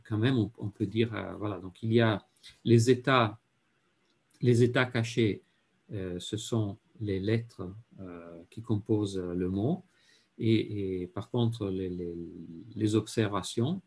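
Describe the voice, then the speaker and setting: A man with an Italian accent lecturing in French, halting with many fillers and repeated words, and often clearing his throat. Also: on the low side, a little mellow. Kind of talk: university lecture.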